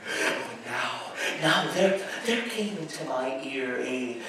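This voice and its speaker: A man on stage playing a deranged, obsessive murderer confessing with chuckling glee, halting and repeating himself in a theatrical gothic horror reading. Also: medium pitch, lively intonation, exaggerated voice. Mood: hushed, sly, obsessive. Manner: halting, repetitive, swinging from hushed to shouted. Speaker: stage performer. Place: live show at an amusement park. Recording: echoey venue, distant mic, faint noise.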